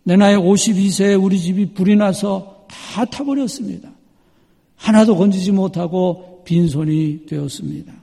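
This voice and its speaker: A man preaching a sermon as a pastor, measured and emphatic, repeating key phrases for weight. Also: high-pitched, vocal fry, dark tone. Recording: long hall reverb.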